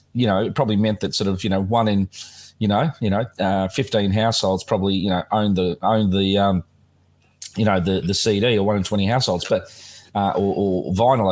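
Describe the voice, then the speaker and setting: A man with a somewhat low, slightly croaky voice hosts a relaxed music chat. He rambles along with plenty of fillers and restarts. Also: brisk. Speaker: podcast host.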